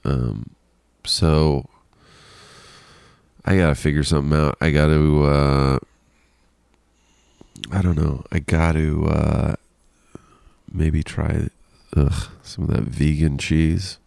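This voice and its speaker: A man's voice: extremely low pitch, steady tone, slow, gravelly, pauses often, darkish tone, no room sound. A man hosting a casual solo podcast, rambling and self-deprecating with frequent laughs, sounding congested and nasal. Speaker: podcast host.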